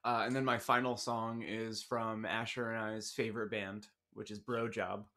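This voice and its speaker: A man's voice: on the low side, flat delivery, normal speed, pushed and bright. A man chatting casually and self-deprecatingly as a podcast co-host. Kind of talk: casual banter. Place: small home studio room.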